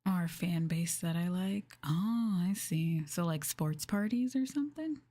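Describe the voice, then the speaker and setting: Soft breathy woman, low-pitched ASMR chat. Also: animated, unhurried. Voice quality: soft, breathy, often near whisper. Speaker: VTuber livestream host.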